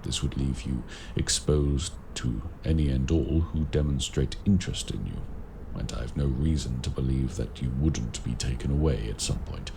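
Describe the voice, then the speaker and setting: Man as a very deep, gravelly warlock. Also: background noise. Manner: formal, measured, deliberate phrasing. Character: ancient male warlock in raven form, courteous, grave mentor. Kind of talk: audio roleplay addressed to the listener.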